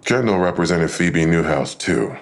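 An actor playing a probing, laconic police detective in an audio drama, with a gravelly voice. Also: male voice, low voice, lively intonation, normal speed. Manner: questioning, laconic. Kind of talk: full-cast audio drama acting. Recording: clear background ambience noise.

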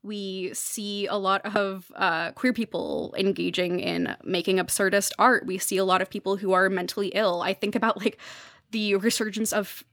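A woman's voice: medium pitch, normal speed, fairly bright tone, no echo, clean studio sound. A woman speaking as a podcast guest, giving thoughtful conversational commentary in an earnest, reflective and emphatic way.

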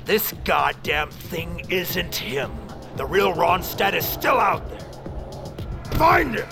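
A menacing crime boss in an audio drama. He drags his words out in a gravelly, commanding snarl with big swings of pitch, under loud music. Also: very animated, exaggerated voice. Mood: furious, commanding. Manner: commanding, slow, threatening. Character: menacing, foul-mouthed, furious male villain boss. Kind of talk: fiction podcast acting.

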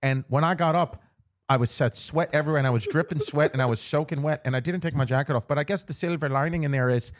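Casting: chatty, foul-mouthed Irishman, acted by a man, higher-pitched, giggly and rambling, for podcast comedy. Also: fast, lightly acted. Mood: rambling storytelling. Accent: put-on Irish. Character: jokey, profane Irishman, chatty podcast host. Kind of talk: comic banter in a put-on accent.

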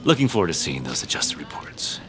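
An actor playing a self-important, manipulative tech CEO, smoothly condescending with theatrical pauses, in an audio drama. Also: male voice, very deep voice, big pitch swings, loud music behind the voice. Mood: quietly menacing.

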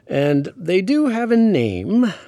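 Astrophysicist radio guest, higher-set voice, dry close sound, pedantic, patient, precise, audio drama. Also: male voice, big pitch swings, warm tone, no room sound, heavily acted. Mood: measured, grave. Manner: pedantic, measured, hesitant with hedging asides. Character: learned male astrophysicist, patient, precise, mildly amused Cold War expert.

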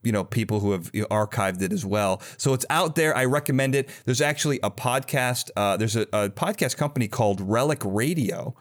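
A man hosting a casual interview podcast, speaking earnestly and haltingly, often rephrasing as he goes. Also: medium pitch. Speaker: podcast host.

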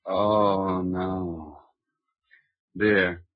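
A rattled American political hopeful, hungover and indignant. His voice is low and rough, acted for 1940s radio. The recording is dull and dry. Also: fairly even intonation, drawn-out words, no echo, full character voice. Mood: groggy, hungover. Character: hungover, shaken male mayoral candidate, rattled and indignant. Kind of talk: 1940s radio drama acting. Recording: muffled old radio recording.

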